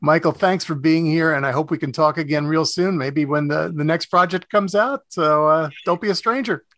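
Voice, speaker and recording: A man's voice: on the high side, normal speed, a bit bright, dry recording, studio quality. A man hosting a radio interview in a casual, conversational style.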